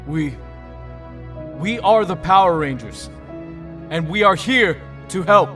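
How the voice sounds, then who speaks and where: A man's voice: high voice, animated, slow, fairly bright tone, faint music behind, full character voice. A man playing an earnest, intense young superhero team leader in an audio drama, speaking firmly. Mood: resolute.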